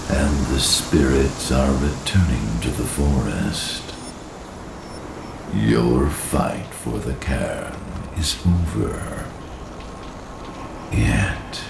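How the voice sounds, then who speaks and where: Very deep, gravelly ancient spirit. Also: male voice, noisy background, full character voice. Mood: solemn. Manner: slow, solemn, archaic pronouncements. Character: ancient solemn male guardian spirit of the forest. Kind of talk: video game cutscene acting. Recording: reverberant, distant, under loud music.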